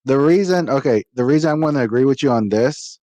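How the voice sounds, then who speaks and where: A man's voice: medium pitch, expressive, fast, dark tone, dry recording. A man co-hosting a casual gaming podcast, critical and opinionated, repeating his points for emphasis. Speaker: podcast co-host.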